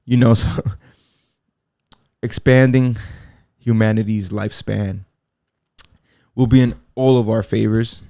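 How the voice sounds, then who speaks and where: A man's voice: lowish voice, fairly even intonation, slow, gravelly, long pauses, mellow, no echo, studio quality. A man in his twenties hosting a casual solo podcast, rambling in laid-back slang with lots of fillers and restarts. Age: 20s. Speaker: podcast host.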